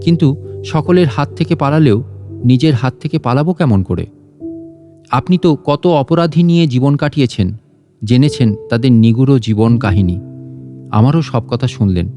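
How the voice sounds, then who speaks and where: Bright-voiced man narrating a story. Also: medium pitch, normal speed, pauses often. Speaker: audiobook narrator and story channel host. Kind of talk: dramatic first-person literary story narration. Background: soft plucked-string instrumental music.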